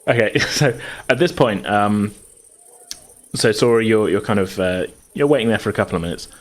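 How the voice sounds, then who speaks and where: A man improvising narration as game master of a tabletop roleplaying game, halting and circling back over his phrases. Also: lowish voice.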